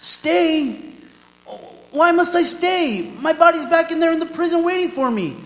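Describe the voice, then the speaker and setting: A man's voice: extremely high pitch, animated, unhurried, a bit bright, full character voice. An actor playing a bewildered, desperate hanged prisoner in a radio drama, pleading and agitated as he projects on stage. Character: desperate, bewildered hanged prisoner, insisting he must return to life. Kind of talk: old-time radio drama acting. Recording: echoey stage hall with long reverb.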